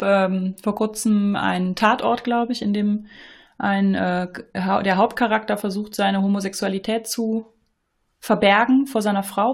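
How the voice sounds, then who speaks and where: A woman in a casual panel discussion, speaking hesitantly and often trailing off softly. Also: mid-range voice.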